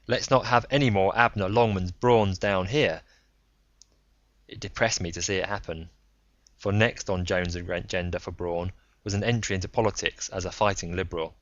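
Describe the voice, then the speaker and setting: Guy reading a story audiobook, kinda low voice, slightly muffled dry recording. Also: a bit bright, no room sound. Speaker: audiobook reader. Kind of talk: scripted first-person story narration.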